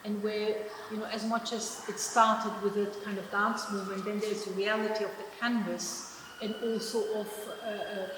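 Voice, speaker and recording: A woman's voice: medium pitch, level tone, moderate pace. German-accented woman in an echoey gallery. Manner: thoughtful, hesitant, many restarts. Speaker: artist. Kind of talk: public conversation about her artwork. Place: exhibition project space. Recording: echoey room with long reverb, noise.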